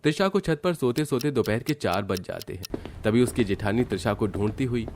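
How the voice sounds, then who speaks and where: A man reading scripted narration for an animated story. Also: medium pitch, fairly quick, a little hiss or hum.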